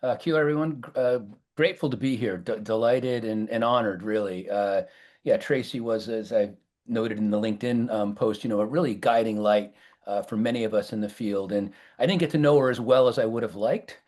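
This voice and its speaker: An American man speaking as a professor on a webinar panel, halting often with fillers and restarts over a video call. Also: fairly low pitch, a little mellow. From United States.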